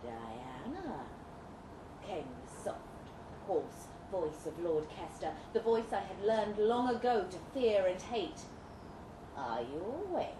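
Echoey, distant woman reading Gothic melodrama theatrically. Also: medium pitch. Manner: theatrical, measured, suspenseful delivery. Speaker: reader performing a short story at a live event. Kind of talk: dramatic literary reading, Gothic Victorian melodrama narration. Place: indoor pub event space, live reading. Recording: echoey room, distant mic, clear background noise.

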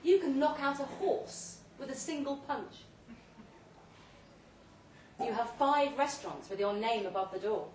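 A woman giving an emphatic, deliberately phrased dramatic reading of a second-person short story at a live event. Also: sits high, lively intonation, a little mellow. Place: indoor pub event, before an audience. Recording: roomy live pub recording, distant mic, faint noise.

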